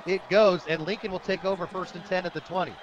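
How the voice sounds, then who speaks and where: An American man with a high-pitched voice gives live football play-by-play for radio. His calls are short, energetic and in the present tense. A loud outdoor crowd carries on behind him. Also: fast, muffled recording. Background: stadium crowd.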